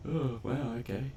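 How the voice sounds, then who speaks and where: A man giving casual gameplay commentary, thinking aloud in a laid-back, colloquial mutter. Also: medium pitch. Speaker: gameplay video host.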